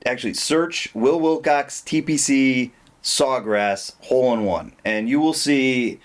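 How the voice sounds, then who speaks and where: A male podcast host with an average-pitched voice steers a relaxed interview. He mostly drops in short prompts and nods of agreement. Now and then he speaks up to address the listeners. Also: a little slow.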